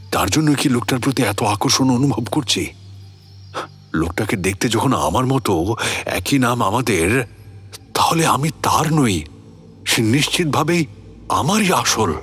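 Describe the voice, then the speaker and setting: A man playing an introspective, philosophical older gentleman who recounts his uneasy past in a radio drama. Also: lowish voice. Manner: musing, expressive, rhetorical. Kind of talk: dramatic first-person storytelling in a radio play. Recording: music bed behind the voice.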